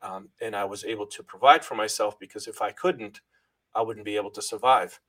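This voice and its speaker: A middle-aged man from Lebanon with a somewhat low voice, speaking as an interview guest. He talks earnestly and from the heart, circling back and restarting phrases as he goes, and thanks God along the way. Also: steady tone, fairly quick, a bit bright. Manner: religious, thanks God often. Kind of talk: personal story in an interview.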